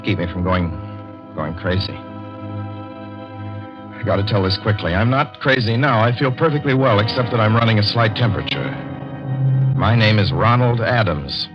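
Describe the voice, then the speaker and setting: A man's voice: fairly low pitch, over music, natural voice. A man playing a jittery driver in his thirties. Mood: anxious. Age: 30s. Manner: tense, hurried confession. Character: anxious 36-year-old male driver. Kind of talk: 1940s radio acting. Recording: muffled 1940s radio recording.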